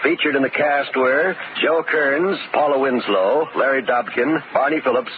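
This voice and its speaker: A man's voice: medium pitch, normal speed, background noise. An American man reading a scripted announcement in 1950s radio style. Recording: tinny 1950s radio, loud music behind.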